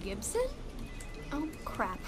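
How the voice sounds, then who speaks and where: A woman's voice: mid-range voice, huge pitch swings, music in the background. An actress playing a teasing, knowing female broadcaster who knows the hero. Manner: teasing, quick. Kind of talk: audio drama acting.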